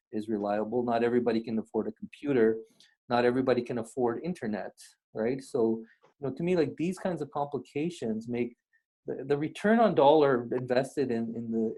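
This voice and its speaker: A man's voice: medium pitch, mellow, poor audio. A man from Pakistan hosting an interview, talking casually and rambling with plenty of "you know" fillers. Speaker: podcast host.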